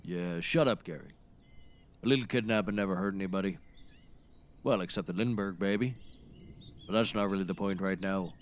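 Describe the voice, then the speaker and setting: An actor playing a folksy, dryly sarcastic backwoods man in a scripted comedy, with deadpan delivery. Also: low-pitched, a little fast, pauses often, a little hiss or hum, natural voice. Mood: wry. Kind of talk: scripted audio comedy acting.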